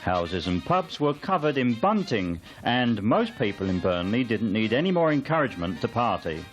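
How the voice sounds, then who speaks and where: A man's voice: fairly low pitch, sing-song, moderate pace, faint music behind. A man reading scripted documentary narration for a newsreel.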